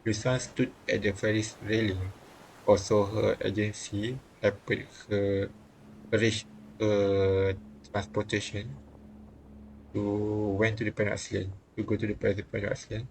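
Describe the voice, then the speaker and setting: A man's voice: lowish voice, level tone, unhurried, creaky, a little hiss or hum, low-quality recording. A man streaming a visual novel, reading the game text aloud and often stumbling and rereading phrases.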